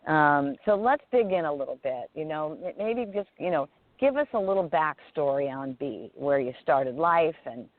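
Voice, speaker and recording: A woman's voice: low voice, animated, normal speed, no echo. A woman hosting an interview show, introducing and questioning her guest with eager enthusiasm. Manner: enthusiastic, uses fillers like "you know". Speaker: host. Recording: dry, tinny call audio.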